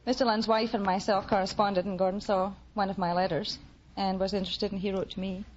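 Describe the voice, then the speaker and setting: A woman's voice: mid-range voice, flat delivery, a little fast. A young woman with a Scottish accent, a contestant telling her story conversationally on a quiz show. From Isle of Man and Glasgow. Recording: muffled, noisy vintage radio recording.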